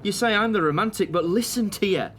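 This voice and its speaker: An actor playing a strained young wartime husband in an audio drama, defensive and hesitant, with a Northern English accent. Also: male voice, high voice, fast talker, a bit bright, a little hiss or hum. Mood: bitter, agitated.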